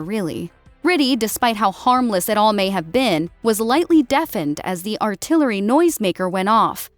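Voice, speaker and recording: High-voiced woman narrating a story. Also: no room sound. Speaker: audiobook narrator. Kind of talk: scripted dramatic story narration.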